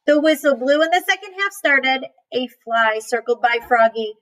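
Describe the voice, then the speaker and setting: A woman's voice: high voice, lively intonation, normal speed, a bit bright. A woman storyteller reading a children's picture book aloud, with playful emphasis on sound words. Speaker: read-aloud storyteller, teacher.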